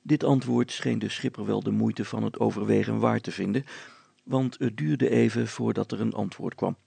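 A man's voice: fairly low pitch, steady tone, moderate pace, vocal fry, dark tone, slightly rough, dry recording. A man reading a children's story aloud as a scripted audiobook narration.

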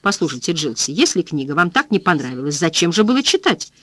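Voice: mid-range voice, very animated, fast, no room sound. A reader voicing a polite, bewildered male publisher who answers in a subdued, apologetic way. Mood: flustered. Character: man, flustered, polite book publisher.

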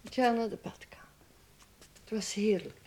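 A woman's voice: mid-range voice, normal speed, gravelly, background noise, close to an everyday voice. An actress playing an anxious, evasive, strained woman in naturalistic radio drama, speaking in a hesitant, subdued way.

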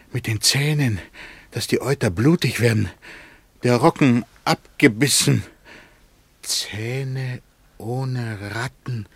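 An actor playing a guilt-ridden, hallucinating murderer who repeats himself obsessively in a poetic radio drama. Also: male voice, medium pitch, husky, no echo, full character voice. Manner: obsessive repetition, swinging from whispering to crying out. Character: guilt-ridden child murderer, tormented, hallucinating, crumbling in terror.

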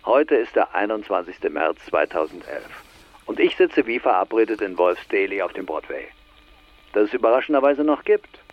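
An enigmatic older man with a low, gravelly voice and lively, teasing intonation. He talks wryly and with measure in a radio drama, over a slightly muffled telephone line. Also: normal speed, dry recording. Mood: knowing, measured. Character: teasing, enigmatic older man, long-lost friend calling. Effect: telephone filter. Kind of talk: radio drama phone dialogue. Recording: slightly dull, band-limited phone line.

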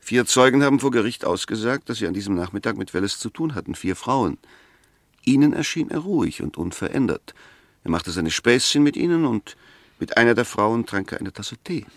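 A man's voice: on the low side, expressive, fairly quick, no room sound, clean studio sound. A man acting an insistent, courteous but sceptical defence lawyer in a 1960s German radio drama, precise and argumentative. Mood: persuasive. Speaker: actor in a radio play.

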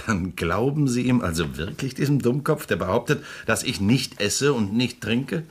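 A man's voice: medium pitch, animated, unhurried, breathy edge. A man playing a suave, amused prosecutor and politician in a radio play, ironic and self-assured, laughing often. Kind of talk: German radio play acting.

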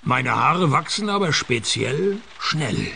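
A man playing a wry, unflappable private detective in a radio play, deadpan and sardonic. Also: medium pitch, takes it slowly, vocal fry, slight background noise, heavily acted. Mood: dry, needling. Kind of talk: radio play dialogue and first-person narration.

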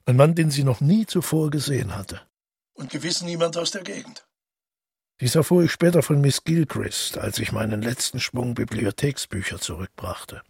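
Very husky, gravelly, solitary man. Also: mid-range voice, expressive, moderate pace, a little mellow, no room sound, clean studio sound, heavily acted. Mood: brooding, matter-of-fact. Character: solitary, weary male murderer, calmly unrepentant, recalling his deed. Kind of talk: literary radio drama monologue.

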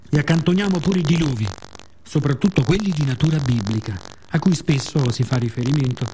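A man performing a poetic, theatrical radio monologue in a measured, solemn manner with dramatic pauses. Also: mid-range voice, dark tone. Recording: faint ambient background noise.

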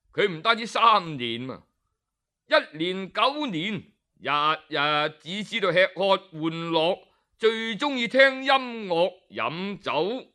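A man with a Hong Kong Cantonese accent telling a traditional story, animated and dramatic, with interjections and pauses. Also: high-pitched.

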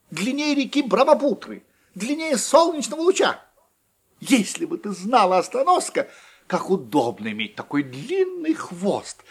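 A man voicing a boastful female mouse with a long tail, husky and shrill. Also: exaggerated voice. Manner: bragging, shrill and lively.

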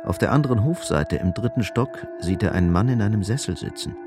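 A man reads scripted narration for a radio drama. His voice sits fairly low, with music clearly playing behind it. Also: animated, fairly quick.

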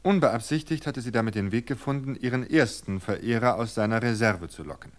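A man reading a mystery story aloud for radio in a calm, measured way. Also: medium pitch. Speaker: reader.

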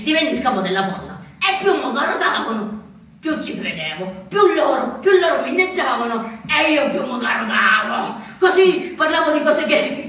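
An actor playing a tough, vain far-right male agitator, haltingly repeating his phrases like an orator in a theatre monologue. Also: very high-pitched, bright, forceful tone, heavily acted. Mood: boastful, relishing. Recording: very echoey stage, distant mic, noisy.

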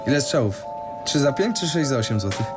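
A man's voice: medium pitch, very animated, fairly quick, lightly acted. An actor in a radio drama playing a polite, chatty man who works a fairground shooting stall. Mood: brisk, friendly. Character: brisk, friendly fairground shooting-gallery attendant named Antoni. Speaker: stall attendant. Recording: loud music behind the dialogue.

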